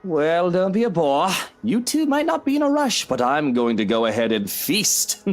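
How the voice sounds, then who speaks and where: A cheeky, flamboyant rich man in a visual novel. His pitch leaps dramatically up and down as he drawls playfully, with music underneath. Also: sing-song, unhurried. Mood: playful, teasing. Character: Luke, cheeky, theatrical rich husband.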